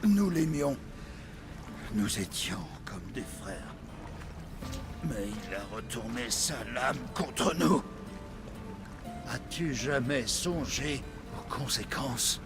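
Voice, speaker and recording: An actor playing a ruthless, embittered hunter of an ancient order in a video game, with a menacing, theatrical delivery. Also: male voice, mid-range voice, lively intonation, slow pace, long pauses, over music. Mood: bitter grief. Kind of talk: dramatic video game acting in French.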